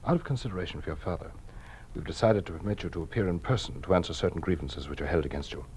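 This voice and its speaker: An actor playing an officious party official in an old-time radio drama, with condescending, bureaucratic politeness. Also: male voice, low voice, rapid-fire, a little mellow, a little hiss or hum, close to an everyday voice. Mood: formal. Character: middle-aged male party official, officious, falsely reasonable interrogator. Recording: vintage radio drama recording.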